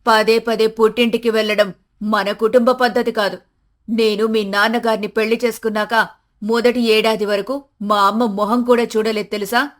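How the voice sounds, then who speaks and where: A woman's voice: fairly high pitch, level tone, normal speed, natural voice. A woman voicing a stern, old-fashioned older mother-in-law in a family story, bossy and emphatic. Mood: scolding, stern.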